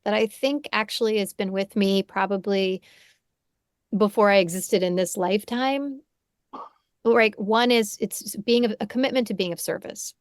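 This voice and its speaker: A woman's voice: medium pitch, steady tone, moderate pace, clear, resonant tone, no echo, studio quality. Reflective woman talking on a video call. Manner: thoughtful, searching, frequent restarts and "right?". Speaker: podcast host and psychotherapist. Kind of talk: reflective conversational interview. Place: home room. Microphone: headphones on a video call.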